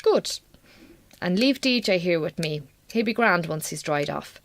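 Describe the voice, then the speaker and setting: An actress playing a weary, wry Irish farm wife in a radio drama, blunt but warming, with an Irish accent and colloquial turns of speech. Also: female voice, fairly low pitch, lively intonation, unhurried, slight background noise. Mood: animated, friendly.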